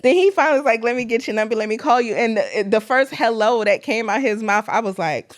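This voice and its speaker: A woman, co-hosting a podcast and telling animated stories between bursts of laughter. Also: on the high side, fast, slight background noise. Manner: animated storytelling, laughs often. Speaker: podcast co-host. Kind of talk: casual chat.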